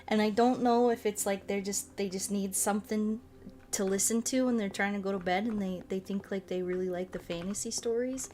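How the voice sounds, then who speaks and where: A woman in her late twenties chatting informally as a livestream host, rambling and animated, with loose, sweary language. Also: mid-range voice, brisk. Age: late 20s. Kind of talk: casual chat while playing a game, reading and answering chat.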